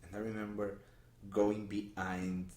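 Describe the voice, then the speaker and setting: A man from Portugal with Portuguese as his first language, a magician guest speaking in a halting conversational interview with many restarts and fillers. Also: fairly low pitch, little pitch movement, darkish tone. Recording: roomy echo.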